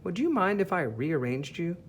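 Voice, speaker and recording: Man voicing an earnest, animated storybook hermit crab. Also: sits high, normal speed, slight background noise, pitched above the performer's own voice. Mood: admiring, polite. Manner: earnest, expressive, courteous. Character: earnest, polite, admiring young hermit crab.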